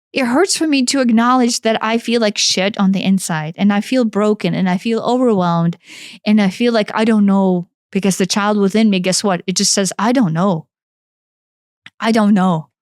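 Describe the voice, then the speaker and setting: Woman, 40s, Ukrainian accent, podcast co-host; high voice, dry close sound, blunt and emphatic. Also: no room sound. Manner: emphatic, blunt, swears freely. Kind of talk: impassioned self-help talk.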